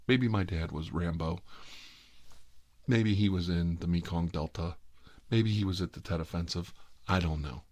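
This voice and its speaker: Deep-voiced middle-aged American man rambling wryly. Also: normal speed, pauses often, darkish tone, no room sound. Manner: wry, sarcastic, exasperated. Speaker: ASMR storyteller. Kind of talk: rambling ASMR storytelling.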